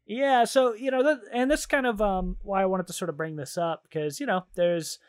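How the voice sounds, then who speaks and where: A man's voice: high voice, normal speed. A man co-hosting a casual podcast, chatting in a hesitant, rambling and jokey way. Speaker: podcast co-host. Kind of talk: casual chat about games and films.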